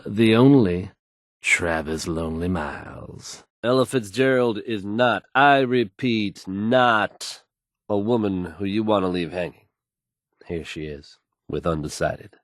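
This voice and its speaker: An actor playing a cool, self-assured young male radio DJ in a game, introducing songs with smooth, showy confidence. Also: fairly low pitch, dry recording, clean studio sound, natural voice.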